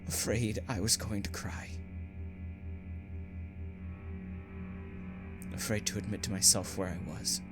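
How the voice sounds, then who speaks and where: A haunted prisoner condemned to die tells his story, acted by a man. His low male voice moves slowly and theatrically, brooding and afraid, in the manner of dramatic audio fiction, with music clearly playing underneath. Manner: theatrical, anguished, swelling from hushed to urgent. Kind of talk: dramatic first-person audio drama narration.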